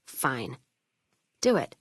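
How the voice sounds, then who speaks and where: A woman's voice: drawn-out words, no room sound, studio quality. A woman playing a tough, hard-drinking caravan trader in a video game, blunt and wry, with folksy, clipped talk. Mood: angry, threatening. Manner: plain-spoken, folksy drawl of clipped sentences, swearing. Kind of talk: video game character voice acting.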